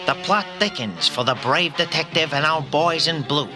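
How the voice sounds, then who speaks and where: An actor playing a dramatic, earnest old-time radio serial announcer, narrating a detective play. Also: male voice, a little high, lively intonation, normal speed, music in the background, lightly acted.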